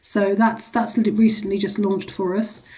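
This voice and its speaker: A woman with a mid-pitched voice speaks as an interview guest. She explains things conversationally and informally, in the chatty manner of a charity executive on the radio. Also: flat delivery, normal speed, mellow, a little hiss or hum.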